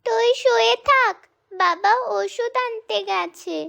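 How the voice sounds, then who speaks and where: A woman plays a caring big-sister village girl in a cartoon folk tale. The voice is extremely high and slightly creaky, with slow, sing-song, careful phrasing. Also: bright, forceful tone, exaggerated voice.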